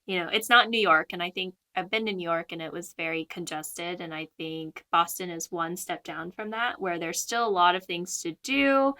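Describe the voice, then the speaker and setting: A woman's voice: on the low side, moderate pace, hardly any pauses, bright, forceful tone, smooth. A woman in her twenties from California, a guest on a podcast, chatting casually with lots of fillers and giggles. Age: 20s. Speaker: guest, new veterinarian.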